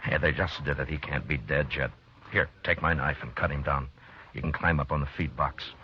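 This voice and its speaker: An extremely low-voiced, calm and commanding frontier marshal in an old-time radio western. He is American and clipped in speech. The sound is muffled, with heavy noise over it. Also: moderate pace. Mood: tense, commanding. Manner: terse, calm, authoritative. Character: frontier U.S. marshal, steady, stern, grave lawman.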